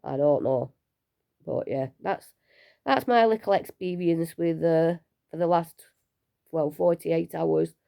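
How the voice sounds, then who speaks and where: A woman, unwell and low on energy, talking casually and rambling a little in a personal vlog from home. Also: takes it slowly, creaky, pauses often, warm tone. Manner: chatty, rambling, self-deprecating with small laughs. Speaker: vlogger sharing her cancer treatment.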